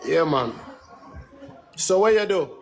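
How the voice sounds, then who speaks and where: A man in a casual interview, talking in a relaxed way with patois-flavored phrasing. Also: a little high, slow, gravelly.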